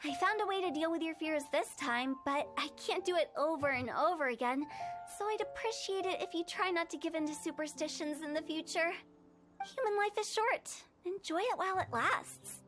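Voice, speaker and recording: Prankish young undertaker, high bright voice, teasing tone, music under. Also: female voice, normal speed. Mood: playful. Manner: teasing, playful, cryptic. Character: mischievous young female funeral parlor director. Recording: game audio over music.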